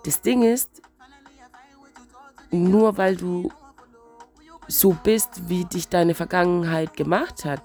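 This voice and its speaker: A woman with a cold, sounding stuffy and wheezy, musing slowly and reflectively in a casual spiritual vlog. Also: lowish voice, steady tone, dark tone, soft background music. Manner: slow, searching, reflective musing. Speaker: vlogger.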